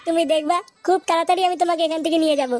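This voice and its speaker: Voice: very high voice, little pitch movement, rapid-fire, darkish tone, soft background music. An actor voicing a scheming, lovesick male cook in a comic cartoon. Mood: tender, reassuring. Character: scheming lovesick male wedding cook, grumbling yet tender.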